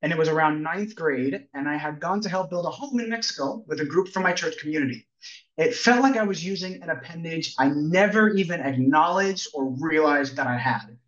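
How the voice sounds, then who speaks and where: A young man walks listeners through his slides with a friendly, lightly joking manner. His voice sits a little high for a man. It comes through a webcam with a distant, dull, muffled tone. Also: a little fast, a bit bright, reverberant. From New Jersey, USA. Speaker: presenter. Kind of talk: slide presentation on a video call. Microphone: webcam on a video call. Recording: distant, dull-toned video call audio.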